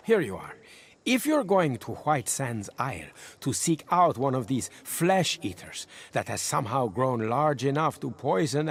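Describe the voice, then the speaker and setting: An actor playing a pious, learned herbalist in a video game, explaining things in a deliberate, scholarly way. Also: male voice, mid-range voice, creaky. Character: learned herbalist healer, pious, earnest and cautious.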